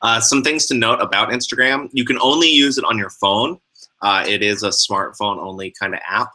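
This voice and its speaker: A young man co-hosts a casual online training, walking through the basics calmly with frequent "uh"s. His voice sits low but has a sharp, very bright edge. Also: normal speed. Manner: relaxed explaining, frequent "uh" starts. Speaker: co-host of an online sales training. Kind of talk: casual instructional talk.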